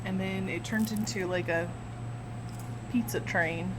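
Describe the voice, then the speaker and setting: A woman's voice: medium pitch, steady tone, measured pace, background noise. A woman co-hosting a podcast, giggly and playful in casual chat. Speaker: podcast co-host.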